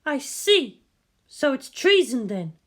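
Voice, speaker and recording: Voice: sits high, sing-song, takes it slowly, fairly bright tone, pitched above the performer's own voice, heavily acted. A narrator voicing a fierce, defiant female military commander who swears and snaps in anger. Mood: curt, cold.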